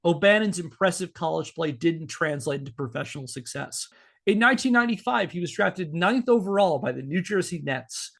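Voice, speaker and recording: A man's voice: on the high side, vocal fry, fairly bright tone. A man hosting a podcast, explaining a story in a conversational but partly scripted way, with asides. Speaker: podcast host.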